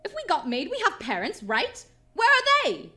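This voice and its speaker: A woman's voice: high voice, huge pitch swings, moderate pace, bright, forceful tone, faint music behind, full character voice. A woman voicing an earnest, boastful, hopeful young skeleton boy in a comic dub, eager and questioning. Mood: anxious questioning. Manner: eager, questioning, wide-eyed. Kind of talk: dramatic comic dub voice acting.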